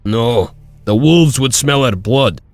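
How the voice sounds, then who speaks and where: An actor playing a feisty, gruff male wolf tracker in a video game, excitable and scornful with a raspy voice. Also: medium pitch, slow, no echo, faint music behind. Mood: eager. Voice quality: raspy, gravelly. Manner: excitable, exclamatory.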